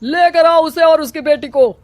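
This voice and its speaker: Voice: high-pitched, moderate pace, dry recording, natural voice. A voice doing a bossy village headman. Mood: scolding. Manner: bossy, scolding. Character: scheming middle-aged male village headman.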